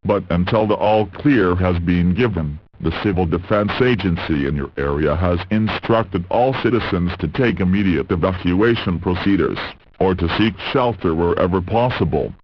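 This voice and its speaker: A man reading a scripted emergency alert as an announcer, in a formal, measured manner. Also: low voice, lively intonation, dry recording. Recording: muffled lo-fi radio broadcast sound.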